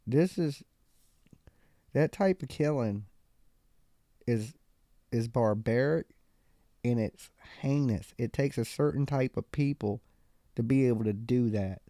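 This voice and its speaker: A man's voice: mid-range voice, slow, pauses often, mellow, no room sound, clean studio sound. A man hosting a live call-in stream, talking loosely and emphatically in casual slang. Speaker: live call-in show host. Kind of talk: casual live-stream talk about crime cases.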